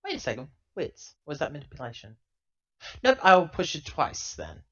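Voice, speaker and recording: A man's voice: a little high, huge pitch swings, moderate pace. Dull-sounding man rambling through a roleplay. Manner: rambling, thinking out loud. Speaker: player in a tabletop roleplaying game. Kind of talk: casual improvised tabletop roleplay. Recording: dull, lo-fi laptop recording.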